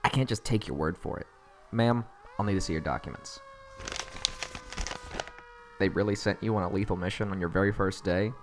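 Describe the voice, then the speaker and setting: A man's voice: deep voice, close to an everyday voice. A man playing a cocky, world-weary police detective in a cyberpunk audio roleplay, sarcastic and needling in his delivery. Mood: sardonic, suspicious. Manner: sarcastic, taunting, casual drawn-out jabs. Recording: clear background noise, sirens and street ambience.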